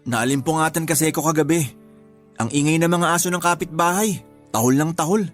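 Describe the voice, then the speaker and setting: A wisecracking young nephew , voiced by a man in an audio drama, light and high-pitched for a man. He is offhand and teasing, and some music plays faintly underneath. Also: brisk, natural voice. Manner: teasing, offhand, shouts to shoo a dog. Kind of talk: Tagalog radio drama acting.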